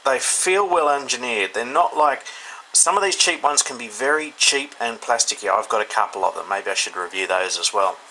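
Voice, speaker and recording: A man's voice: medium pitch, animated, moderate pace, few pauses, bright, forceful tone, rough recording. An Australian man giving a casual, unscripted product review indoors, thinking aloud in an informal, hesitant way. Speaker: hobbyist radio reviewer. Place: indoors, in a room. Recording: clear background noise, indoor home recording.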